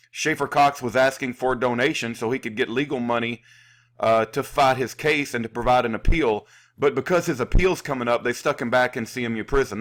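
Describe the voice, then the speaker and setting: A man hosting an unscripted political commentary, indignant and insulting, halting and repeating himself as he goes. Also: mid-range voice, fairly bright tone. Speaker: video host, activist commentator.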